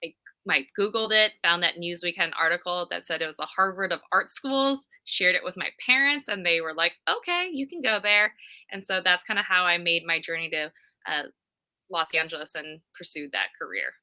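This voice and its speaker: A woman's voice: fairly low pitch, moderate pace, sharp tone. A woman from California, upbeat and fluent, telling her story as an interview guest over a video call. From California, USA. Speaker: guest, creative director. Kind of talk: conversational interview.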